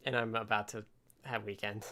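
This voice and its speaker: A man hosting a casual live coding stream, talking haltingly and half muttering to himself as he works. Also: fairly low pitch, little pitch movement, pushed and bright. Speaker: livestream host, programmer. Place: indoors at a desk with computer.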